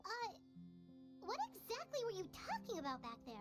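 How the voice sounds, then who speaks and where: A tiny, chirpy fairy companion, squeaking very high with a lively, bouncing pitch. She talks about herself in the third person. Game music plays behind her. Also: moderate pace. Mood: curious.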